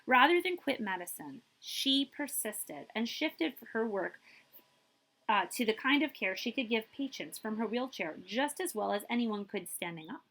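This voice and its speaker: Female read-aloud storyteller, librarian type. Higher, slightly husky voice, emphatic phrasing, drawn-out key words. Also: lively intonation, a bit bright, rough recording. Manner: emphatic, drawing out key words for young listeners. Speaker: librarian reading aloud to children. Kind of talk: expressive picture-book read-aloud with brief asides.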